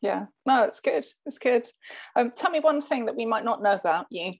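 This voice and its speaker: A woman's voice: on the high side, animated, normal speed. A woman hosting an interview, encouraging her guest with frequent short affirmations. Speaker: interviewer, host. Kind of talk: conversational interview.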